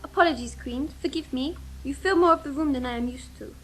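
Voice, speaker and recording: Scheming royal chef, woman actor: high, formal, sly and seductive, servant to royalty in radio comedy. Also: fairly quick, fairly bright tone, a little hiss or hum, low-quality recording. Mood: deferential, frustrated. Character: foreign Eastern temptress chef, sly, deferential, suspiciously seductive. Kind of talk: comic radio-play acting.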